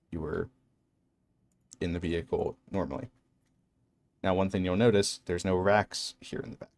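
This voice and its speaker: A man's voice: low-pitched, darkish tone. A man narrating an instructional game walkthrough in a matter-of-fact, explanatory way. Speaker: tutorial narrator.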